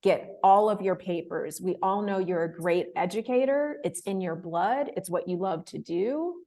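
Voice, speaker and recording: A woman in her thirties, a guest in an interview, telling her story in a casual, animated way, laughing often and acting out the voices of people she quotes. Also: mid-range voice, normal speed. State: emotional, close to tears. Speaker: interview guest, fellowship winner.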